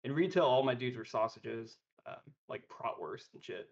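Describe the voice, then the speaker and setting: Man, mid-pitched, podcast guest, casual joking talk, dull voice-chat audio. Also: animated, normal speed, gravelly, darkish tone.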